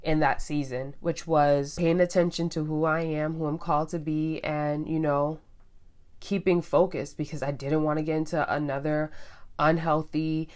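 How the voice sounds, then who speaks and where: A woman's voice: low-pitched, level tone, normal speed, darkish tone. A young woman from Guyana and Trinidad chatting candidly to her viewers, often acting out her past thoughts as quoted asides. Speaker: vlogger. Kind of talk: casual storytelling vlog.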